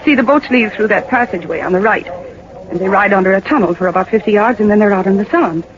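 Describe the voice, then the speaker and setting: A woman's voice: medium pitch, quick pace, natural voice. An actress playing an earnest, polite young woman, an owner's daughter, in a 1940s radio drama. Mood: helpful, polite. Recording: muffled 1940s radio recording, faint noise.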